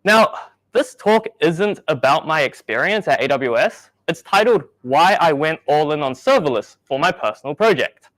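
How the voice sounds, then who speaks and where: A young man raised in New Zealand giving a measured, explanatory tech talk at a meetup, with the odd dry joke. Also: a little high, animated, a bit bright, no room sound. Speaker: meetup speaker.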